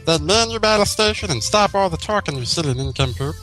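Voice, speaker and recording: Man putting on a high, bossy girl general. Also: animated, a little fast, fairly bright tone, faint music behind. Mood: stern, scolding. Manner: barking orders. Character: bossy young girl pony general, self-important, stern.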